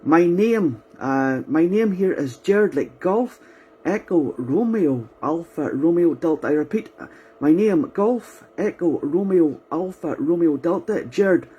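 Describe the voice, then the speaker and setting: A man's voice: on the high side, lively intonation, measured pace, dark tone, breathy edge, lo-fi sound. A man making a ham radio contact, methodically spelling out call signs in the phonetic alphabet and repeating them. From County Antrim, Northern Ireland.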